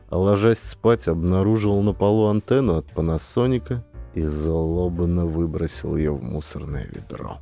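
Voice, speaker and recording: Deep, creaky-voiced male audiobook narrator. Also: mellow. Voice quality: deep, gravelly. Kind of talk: scripted narration.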